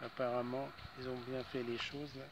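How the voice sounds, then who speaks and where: A man's voice: mid-range voice, flat delivery, normal speed, rough recording. A man chatting casually as an amateur radio operator, in hesitant phrases that often trail off, with some background noise.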